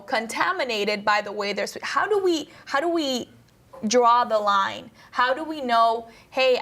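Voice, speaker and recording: A woman's voice: fairly high pitch, a bit bright. A young woman from Colombia leading a Bible study discussion, asking questions in a chatty way. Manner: questioning, chatty, lightly laughing. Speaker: discussion leader.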